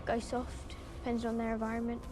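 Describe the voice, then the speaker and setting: A woman's voice: a little high, completely flat delivery, quick pace, background noise, lightly acted. An actress playing a reflective, philosophical woman reminiscing in a screen drama. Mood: wistful, reflective. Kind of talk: series drama dialogue. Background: clear music.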